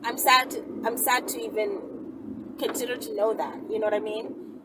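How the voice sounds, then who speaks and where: High-voiced woman, distant noisy recording. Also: fairly even intonation, moderate pace. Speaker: video channel host answering viewer questions. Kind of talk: casual unscripted talk to viewers. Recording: distant mic, clear background noise.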